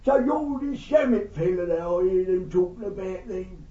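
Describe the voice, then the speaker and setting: In an echoey room, a high, mellow voice put on by a man plays a cheeky dead Englishman come back to chat. He is colloquial and teasing, a plain-spoken working-class spirit with an English accent. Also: expressive, normal speed. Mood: chatty, curious. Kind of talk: spirit speaking at a seance, conversational. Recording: echoey room, far from mic, dull.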